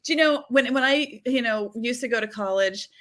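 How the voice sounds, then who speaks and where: A bright, forceful woman with a slightly high voice tells stories as a talk-show guest. She is from Massachusetts but has a neutral accent. She is animated and laughs often. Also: moderate pace. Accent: none, lost her Boston-area accent. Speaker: guest, psychic medium. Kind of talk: casual interview chat.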